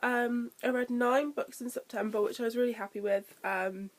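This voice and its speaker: A young woman talks through her month's reading in a loose, chatty way, with lots of ums and likes. Her voice sits a little high, and it sounds nasal and stuffy because she is coming down with a cold. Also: steady tone, normal speed. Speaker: book vlogger. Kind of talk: casual book review vlog.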